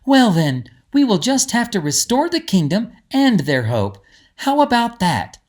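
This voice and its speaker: A man plays a plucky young girl from a fantasy tale. The voice is lifted and swings about in pitch, eager and full of curiosity. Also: sing-song, measured pace, darkish tone. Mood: determined. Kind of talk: storybook character dialogue.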